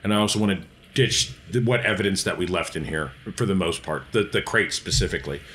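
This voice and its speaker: A man's voice: low voice, fast talker, fairly bright tone. A man talking casually as a player in a tabletop game, planning his moves. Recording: faint noise behind voice.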